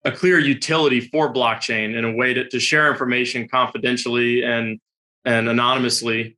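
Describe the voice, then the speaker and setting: A man's voice: mid-range voice, bright, forceful tone. A man hosting an interview, conversational and articulate, speaking in long flowing sentences as he leads into questions. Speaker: interview host.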